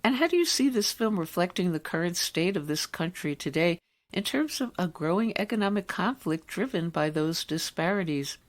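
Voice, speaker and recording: A man's voice: high voice, lively intonation, moderate pace. A man working as a radio interviewer, putting prepared, formal questions to a guest.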